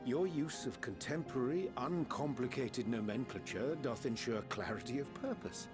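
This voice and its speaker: An actor playing a calm, scholarly man in a fantasy video game, speaking in formal, eloquent, archaic phrases. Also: mid-range voice, normal speed, music in the background, natural voice. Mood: solemn, instructive.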